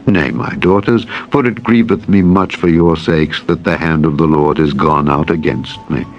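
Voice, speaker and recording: A man's voice: extremely low pitch, steady tone, moderate pace, voice pushed down. A man voicing a grieving, bitter older widowed mother-in-law in a dramatized scripture reading, solemn and sorrowful. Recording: dull lo-fi sound with music.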